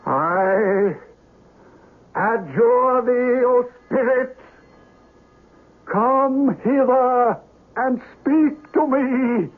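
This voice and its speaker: A man's voice: extremely high pitch, extremely slow, pauses often, soft background music, full character voice. A man playing a grave doctor of the occult in a radio horror drama, speaking theatrically and solemnly. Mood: commanding, incantatory. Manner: grave, theatrical, archaic incantations. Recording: noisy, tinny old radio broadcast.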